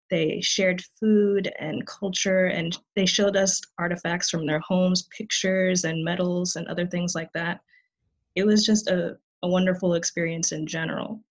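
Young woman student presenting on a video call, kinda low voice, lots of ums, hesitant. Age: 20s. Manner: soft-spoken, hesitant with many fillers. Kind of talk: conference presentation.

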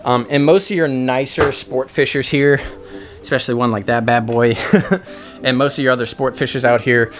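A man's voice: medium pitch, steady tone, normal speed. A young man running a boat detailing business, talking casually and upbeat to a handheld camera outdoors at a marina. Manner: upbeat, chatty, lots of "guys" and fillers. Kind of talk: casual how-to vlog. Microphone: handheld camera at arm's length.

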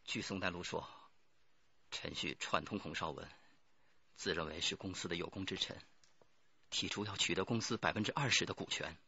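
Defiant cornered criminal in radio drama, low husky voice, deliberate delivery. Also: male voice, pushed and bright, muffled recording, heavily acted. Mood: confessing. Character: defiant, cornered male murder plotter under interrogation.

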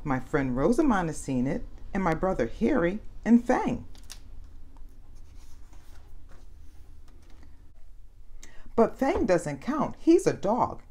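A woman's voice: fairly low pitch, sing-song, measured pace, mellow, breathy edge, voice pushed up, full character voice. A woman voicing a friendly, earnest little girl in a children's storybook, plain and eager.

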